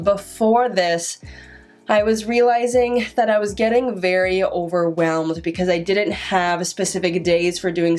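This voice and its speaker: A young woman in her twenties vlogging to camera, talking openly and stuttering with repeated sounds and restarted words. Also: on the low side. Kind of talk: casual vlog talk to camera.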